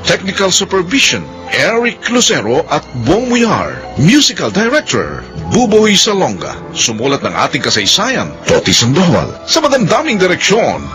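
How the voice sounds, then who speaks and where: A man hosts a radio drama in a formal, theatrical announcing style. His slightly high voice swoops dramatically through scripted lines. Music plays clearly underneath.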